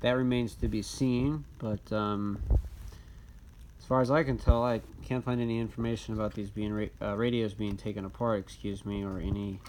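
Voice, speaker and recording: A man giving a casual, unscripted hands-on walkthrough of a gadget, speaking in a halting way with frequent ums. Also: fairly low pitch, steady tone, a little mellow. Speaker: presenter of a radio disassembly video. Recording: noisy recording with handling clicks.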